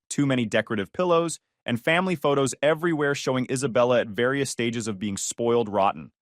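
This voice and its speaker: A man tells his own story with wry sarcasm. His pitch rises and falls a lot as he speaks. The recording is close and dry, with no room sound. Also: fairly bright tone, dry recording. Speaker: narrator. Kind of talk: first-person story narration.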